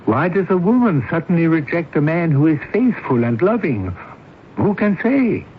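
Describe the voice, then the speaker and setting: An actor playing a coolly authoritative male doctor who questions in a deliberate, pointed way, in a 1970s radio drama. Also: a little high, sing-song. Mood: probing, insistent. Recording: muffled, noisy old radio broadcast.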